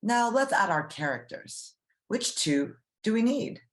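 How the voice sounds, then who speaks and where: A woman's voice: fairly high pitch, big pitch swings, unhurried. A woman reading aloud as a teacher for young children, explaining simply and putting questions to the listeners. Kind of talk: children's read-aloud lesson.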